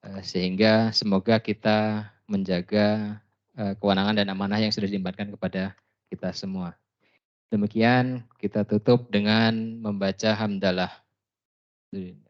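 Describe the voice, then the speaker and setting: A male event host giving formal closing remarks in a measured, flat way. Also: lowish voice. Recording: dull lo-fi sound.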